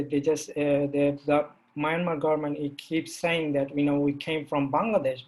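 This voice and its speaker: Flat-voiced man, halting second-language speech. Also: a little high, low-quality recording. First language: Rohingya. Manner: halting, many restarts and fillers. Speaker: panel guest. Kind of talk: panel discussion.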